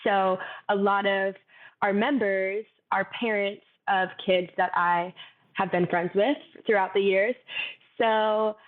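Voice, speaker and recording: A young woman, a fitness coach, talking softly and thoughtfully in a casual interview, laughing easily. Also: medium pitch, steady tone, takes it slowly. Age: young adult. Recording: tinny phone-like line.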